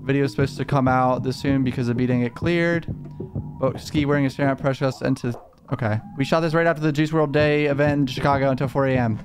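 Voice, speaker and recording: A young man hosts a relaxed reaction chat in a mid-range voice. He talks quickly and upbeat through a headset on a video call, tossing in facts as he goes. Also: mid-range voice, over music. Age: young adult. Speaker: channel host.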